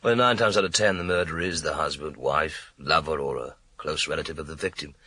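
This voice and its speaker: An actor playing a weary, sardonic police inspector in a radio crime drama, blunt and dry in manner. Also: male voice, a bass voice, brisk, pushed and bright, breathy edge, dry recording, heavily acted. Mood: grim, dry.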